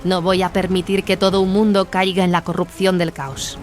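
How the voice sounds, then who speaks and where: An actress playing a resolute, zealous warrior nun who speaks solemnly and with command. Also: female voice, lowish voice, little pitch movement, moderate pace, faint music behind, close to an everyday voice. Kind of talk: dramatised audio fiction acting.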